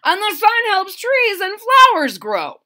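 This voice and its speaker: A self-important girl leaf from a storybook, voiced by a woman, eager to be first. Her voice is high and bright, and she makes her case insistently with lively rises. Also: measured pace, exaggerated voice. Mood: boastful, insistent. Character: boastful female yellow hickory leaf, eager to be first. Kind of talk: children's storybook read-aloud.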